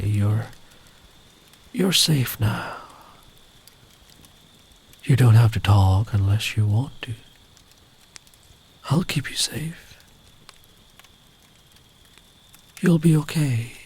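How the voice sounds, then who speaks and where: A man playing a big, kind traveller in the woods in an ASMR comfort roleplay, speaking gently and soothingly, often close to a whisper. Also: mid-range voice. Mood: soothing, hushed. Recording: clear background ambient noise.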